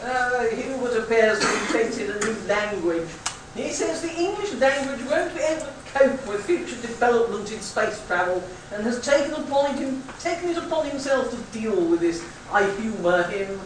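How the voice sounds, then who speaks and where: A woman's voice: a little high, big pitch swings, normal speed. A woman playing an indulgent, slightly muddled Victorian professor in a live comic radio play. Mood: mildly flustered. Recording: echoey room, distant mic, faint noise.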